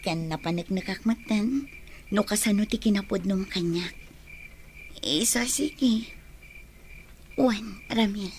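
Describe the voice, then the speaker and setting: A woman with an Ilocano accent playing a composed young village woman being courted in a radio drama, measured and coy. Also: mid-range voice, a little mellow, slight background noise. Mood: coy, sincere.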